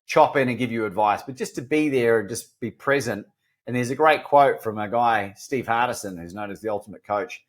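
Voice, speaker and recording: A man's voice: mid-range voice, fairly quick. Earnest man with a Kiwi-Australian accent. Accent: mixed New Zealand and Australian. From New Zealand. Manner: earnest, self-deprecating, frequent restarts. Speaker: podcast guest. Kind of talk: candid storytelling interview.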